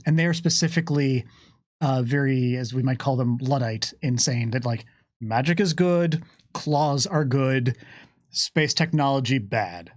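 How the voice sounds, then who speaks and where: A man's voice: mid-range voice, darkish tone, dry recording. Articulate man chatting, dry close sound. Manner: wordy, academic vocabulary mixed with casual slang. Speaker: game developer answering fan questions on a stream. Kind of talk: casual unscripted Q&A talk.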